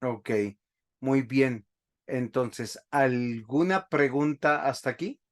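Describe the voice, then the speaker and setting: A man, a pastor teaching a Bible class over a video call, speaking slowly and stopping often to ask the class questions. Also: medium pitch. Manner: slow, halting, asks the class questions often.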